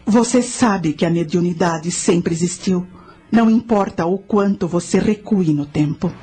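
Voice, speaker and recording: An actress playing a knowing spirit guide, telling ancient history and teaching in a measured, solemn way in a radio drama. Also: female voice, fairly low pitch, dark tone, slightly rough. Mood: instructive. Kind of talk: scripted radio drama narration.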